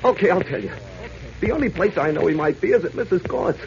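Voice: mid-range voice, quick pace, vocal fry, a crowd in the background. An actor playing a young, edgy, streetwise informant with slangy talk in a 1940s-style radio serial. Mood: nervous, cooperative. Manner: slangy, animated. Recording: muffled noisy old radio broadcast.

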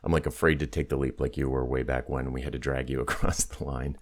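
A man's voice: a bass voice, level tone, quick pace. A man hosting a casual podcast interview, putting questions to his guest in a conversational way.

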